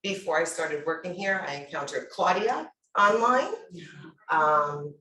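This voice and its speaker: A woman's voice: fairly low pitch, lively intonation, a little slow, sharp tone, a little hiss or hum. Woman, middle-aged, from New York, blunt storyteller, conference presenter, echoey distant mic. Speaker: workshop presenter. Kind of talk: personal introduction at a conference panel. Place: conference room. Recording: echoey room, voice from afar.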